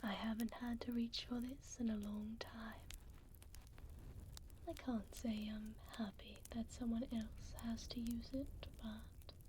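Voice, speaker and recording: A woman's voice: on the high side, flat delivery, slow pace, darkish tone, slight background noise. A woman playing a regal, tender vampire duchess doting on a pup, whispering breathily into a close binaural mic in formal, old-fashioned phrasing for an ASMR roleplay. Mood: soft, soothing. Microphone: close binaural microphone.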